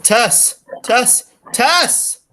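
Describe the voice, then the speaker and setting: A woman's voice: fairly high pitch, lively intonation, extremely slow, pushed and bright, heavily acted. A woman playing a heroic, earnest male police detective in a 1940s style radio play. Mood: alarmed. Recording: faint noise and clicks.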